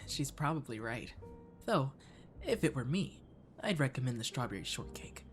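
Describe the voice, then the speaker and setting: Guy doing a sweet flirty catboy waiter, soft asmr roleplay, gentle and attentive, music playing behind. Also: medium pitch, very animated, moderate pace. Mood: caring, playful. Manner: attentive, gently coaxing, lapsing into whispers. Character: energetic friendly catboy cafe waiter, courteous and flirty. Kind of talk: ASMR roleplay, addressing the listener. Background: clear background music.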